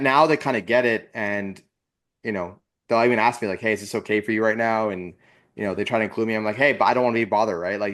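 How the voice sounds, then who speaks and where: A man with a somewhat low voice co-hosts a podcast. He talks calmly and lays out his points in full, measured thoughts. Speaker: podcast co-host. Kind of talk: casual podcast interview.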